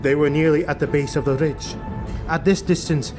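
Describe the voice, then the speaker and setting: A man with a slightly high voice narrates a fantasy battle story. His delivery is scripted and dramatic, and music plays clearly behind him throughout. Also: steady tone, normal speed. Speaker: audiobook narrator.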